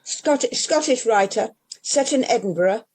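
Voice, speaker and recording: An older woman from England talks casually about her bookshop, her mellow, warm voice wandering through half-finished phrases and asides. She restarts often and drifts from one point to the next. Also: on the high side, lively intonation, measured pace, slightly rough, rough recording. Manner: rambling, with many restarts and trailing asides. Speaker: independent bookshop owner. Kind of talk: casual interview chat.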